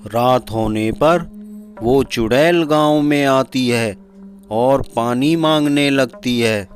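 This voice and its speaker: A man's voice: mid-range voice, takes it slowly. A man narrating a scripted horror story for a cartoon. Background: background music.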